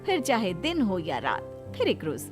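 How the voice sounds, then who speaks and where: A woman's voice: medium pitch, wildly swooping pitch, moderate pace, over music. A woman giving scripted narration for a comic family story.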